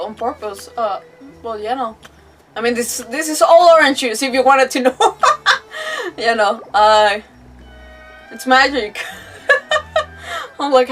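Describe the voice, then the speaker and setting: A woman with a very high voice chats casually as she streams a game. She rambles, restarts her thoughts and giggles easily. Music plays behind her, and the voice sits a little away from the mic. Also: recorded from a distance, low-quality recording. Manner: rambling, self-interrupting, giggly. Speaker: livestreamer, gamer host. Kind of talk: casual livestream chat.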